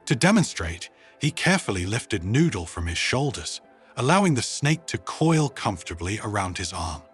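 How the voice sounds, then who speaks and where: A man's voice: lowish voice, sing-song, normal speed, husky. A man narrating a scripted science fiction story. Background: music.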